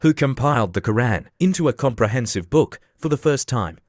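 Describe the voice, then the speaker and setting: A man hosting a quiz, reading out questions and answers in a plain, measured way. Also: mid-range voice. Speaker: quiz host. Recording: clear steady background noise.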